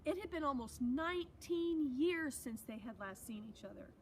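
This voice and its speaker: Higher-voiced woman reading aloud, noisy recording. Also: lively intonation, moderate pace. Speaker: teacher reading aloud to students. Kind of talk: read-aloud of a children's novel with chatty asides.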